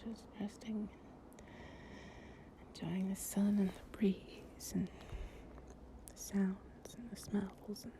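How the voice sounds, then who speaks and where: A woman speaking softly, often in a breathy whisper, in an intimate, affectionate and soothing improvised girlfriend ASMR roleplay. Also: mid-range voice, little pitch movement, very slow, long pauses. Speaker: audio roleplay performer playing an affectionate girlfriend.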